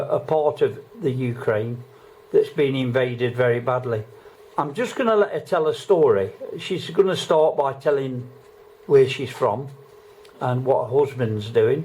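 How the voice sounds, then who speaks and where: A man speaks in a grave, measured way, narrating and then gently interviewing a guest. His voice is mellow and relaxed, with a roomy echo around it. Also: medium pitch. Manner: grave, measured delivery with rhetorical questions. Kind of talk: solemn documentary narration and interviewing.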